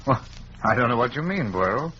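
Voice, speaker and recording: An actor playing a puzzled, good-natured male sidekick to a detective, questioning and husky, in 1940s radio drama style. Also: medium pitch, expressive, a little fast, pushed and bright, a little hiss or hum. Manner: bewildered, questioning. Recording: muffled old radio recording.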